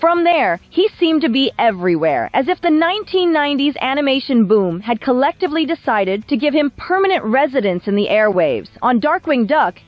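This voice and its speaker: Casting: female video essay narrator, wry and polished, lively high voice, recorded dry over background music. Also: dry recording, muffled recording. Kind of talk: scripted documentary narration.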